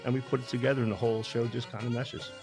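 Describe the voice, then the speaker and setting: A man in his sixties, a longtime string band captain, chatting in an interview with a naturally loud voice and frequent stammered restarts. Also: mid-range voice, level tone, very fast, music in the background. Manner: loud, projecting voice, repeats words when starting sentences. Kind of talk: casual interview chat.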